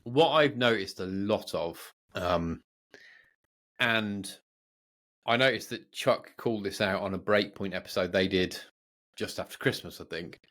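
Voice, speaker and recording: A man's voice: lowish voice, unhurried, a bit bright. A man co-hosting a podcast, talking in a casual, informal way and thinking aloud as he goes. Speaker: podcast co-host.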